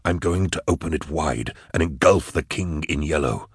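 A man voicing a grim, unyielding male mastermind, terse, cold and deliberate, in an audiobook story. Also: a bass voice, husky, dry recording, studio quality, exaggerated voice. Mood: cold, determined.

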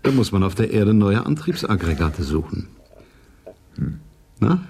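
Lowish-voiced composed spaceship captain. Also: male voice, sing-song, unhurried, breathy edge, exaggerated voice. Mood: explaining, reassuring. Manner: reassuring, orderly, quietly authoritative. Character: composed, friendly male spaceship captain briefing his crew. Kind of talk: German science fiction radio drama.

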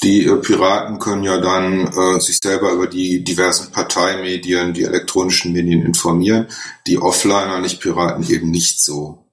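Low-voiced man in his forties, roomy. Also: flat delivery, normal speed. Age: 40s. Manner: hesitant, many fillers. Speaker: newspaper editor, guest. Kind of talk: casual conversation. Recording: roomy echo.

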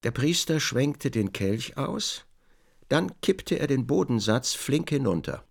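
A man's voice: mid-range voice, sing-song, slow, creaky. A man narrating a literary radio drama as a storyteller, reading scripted prose.

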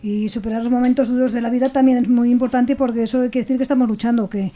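A woman's voice: fairly high pitch, fairly even intonation, fast, dark tone. A woman talking reflectively and unscripted on a radio show, repeating words as she thinks aloud.

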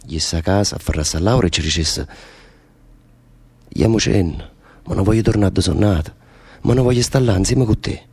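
A man's voice: low-pitched, moderate pace, pauses often, darkish tone, slightly rough. A man playing a lovesick, restless prisoner in a radio play, telling rambling, impassioned stories in Neapolitan dialect. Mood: brooding longing. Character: lovesick, restless male prisoner, volatile storyteller. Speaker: stage actor. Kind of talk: radio theatre dialogue in dialect.

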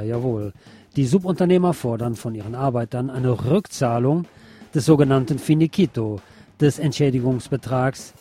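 Guy reading the news on radio from a script, music under him. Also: medium pitch.

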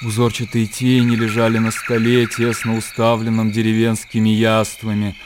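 A man reading scripted literary narration for a radio play in a measured, descriptive storytelling manner. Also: fairly low pitch, completely flat delivery, background noise. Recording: clear outdoor nature ambience behind.

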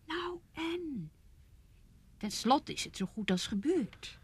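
An actress in a radio play playing a young, manipulative fiancée, pressing and coaxing. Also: female voice, high voice, very animated, slow pace, full character voice. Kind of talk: Dutch radio play acting.

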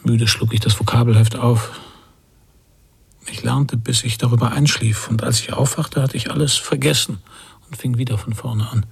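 An actor playing a weary man of fifty in a literary radio drama, husky and gravelly, brooding and deliberate in his telling. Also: medium pitch, fairly even intonation. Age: 50s. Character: weary man of fifty, an emigrant struggling to learn English.

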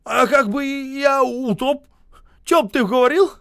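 A man voicing a hot-tempered workman in a story, yelling out short accusing questions. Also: very high voice, a little slow, creaky, pitched above the performer's own voice, exaggerated voice. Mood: furious, accusing.

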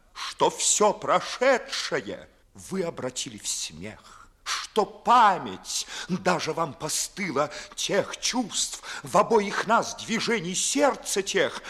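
An actor playing a proud, wounded, bitterly disillusioned young gentleman in a 1950s audio play, declaiming verse theatrically. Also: a little high, heavily acted.

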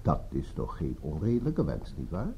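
An actor playing a smooth, commanding boss in a radio play, formal and insinuating, with veiled menace. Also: male voice, extremely low pitch, wildly swooping pitch, moderate pace, dark tone, heavily acted. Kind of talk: radio drama dialogue. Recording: muffled, noisy radio play recording.

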